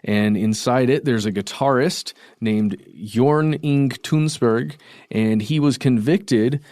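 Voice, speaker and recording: A man co-hosting a podcast, talking in a relaxed, chatty way with frequent fillers and restarts. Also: medium pitch, takes it slowly, no echo. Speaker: podcast co-host. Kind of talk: casual conversational discussion.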